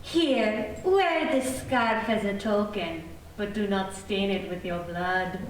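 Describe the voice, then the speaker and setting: Woman as haughty flirtatious princess, slow, radio drama, very echoey hall, faint noise. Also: mid-range voice, far from the mic, lightly acted. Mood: coy, haughty. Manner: grand, deliberate. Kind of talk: old-time radio serial acting.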